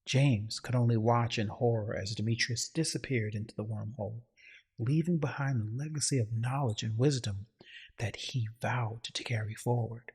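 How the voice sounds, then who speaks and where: Male story narrator, heavily husky, medium pitch, solemn and grandiose delivery. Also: steady tone, normal speed, darkish tone. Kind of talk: dramatic scripted story narration.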